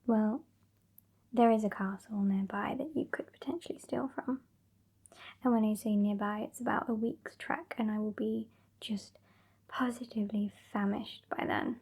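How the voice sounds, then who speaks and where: Female performer as haughty, teasing British vampire woman, ASMR roleplay. Soft whispery delivery, dark mellow tone, creaky edges, dry and bossy. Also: medium pitch, steady tone, normal speed. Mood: haughty, scheming. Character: sassy, bossy female vampire, over a century old.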